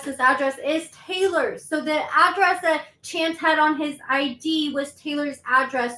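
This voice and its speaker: A woman's voice: high voice, fairly bright tone. A woman hosting a commentary video, chatting and explaining events step by step. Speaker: commentary host. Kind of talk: casual commentary. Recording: roomy echo.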